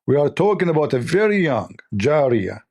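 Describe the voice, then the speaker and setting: A man with a voice worn hoarse, giving scornful, lecturing commentary on religious texts in non-native English. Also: on the high side, big pitch swings, moderate pace, a little mellow. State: losing his voice. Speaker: religious debate host. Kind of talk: polemical commentary reading texts.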